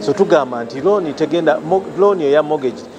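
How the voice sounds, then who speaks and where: A man with a Ugandan accent, a bank official, explaining loans in a halting interview soundbite at an outdoor event. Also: fairly high pitch, lo-fi sound, a crowd in the background. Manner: halting, with fillers and restarts. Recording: music playing behind.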